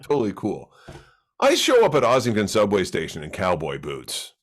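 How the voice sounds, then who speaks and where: Male comic, low register, animated pitch; loose, jokey storyteller for a podcast chat. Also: normal speed. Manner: joking, rambling storyteller with many restarts. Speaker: stand-up comic, podcast guest. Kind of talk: casual chat. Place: recorded for a podcast.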